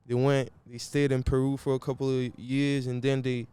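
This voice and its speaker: Guy chatting easy, smooth clean voice, slangy, lots of "you feel me". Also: medium pitch, level tone, normal speed, mellow. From Brazil. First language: Portuguese. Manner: laid-back slangy talk, keeps saying "you feel me". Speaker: guest musician. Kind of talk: casual interview chat.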